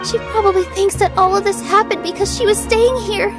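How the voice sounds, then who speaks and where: An actress playing a gentle young dancer in a game, soft-spoken and polite. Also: female voice, very high-pitched, fairly even intonation, brisk. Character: young woman dancer, gentle and warm-hearted. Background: game music.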